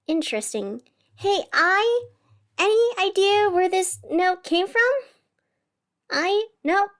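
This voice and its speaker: A woman playing a radio host in an audio drama, narrating with measured, theatrical delivery and dramatic pauses. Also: very high-pitched, animated, fairly bright tone, heavily acted. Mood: frazzled, wry. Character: sleepless, over-caffeinated female radio host in strange city. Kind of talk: scripted radio fiction narration.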